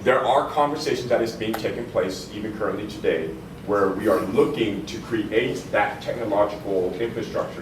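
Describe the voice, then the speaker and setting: A man from San Antonio speaking up at a town hall meeting with a big, forceful voice. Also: fairly low pitch. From San Antonio, Texas. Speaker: community attendee speaking up. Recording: echoey room from far off, noisy.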